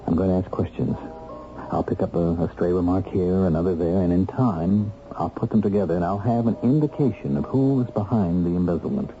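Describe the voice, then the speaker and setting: A man's voice: low voice, brisk, natural voice. A man acting a radio drama role: a bitter, determined bookkeeper freed from prison, earnest and methodical as he talks. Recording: muffled old radio recording with music.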